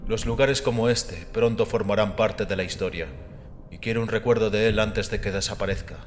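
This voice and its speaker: A man voicing a mysterious, self-assured skeptic with a measured, formal and mocking manner. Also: fairly low pitch, fairly even intonation, close to an everyday voice. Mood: measured, curious. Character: mysterious male guest, self-assured, scornful skeptic of faith. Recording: background music under voice.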